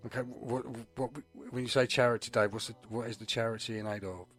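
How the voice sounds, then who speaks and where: Male radio interviewer, average pitch, casual conversational delivery, faint music underneath. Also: fairly even intonation, quick pace.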